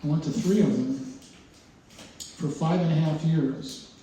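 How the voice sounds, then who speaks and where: An older man speaks about his work with a mellow, relaxed voice, pausing often and restarting his sentences. He sounds distant from the microphone, his voice ringing through a very echoey indoor gallery. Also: a little high. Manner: halting, many uhs and restarts. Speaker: exhibiting artist. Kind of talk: informal gallery talk. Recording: very echoey room, voice far from mic, faint noise.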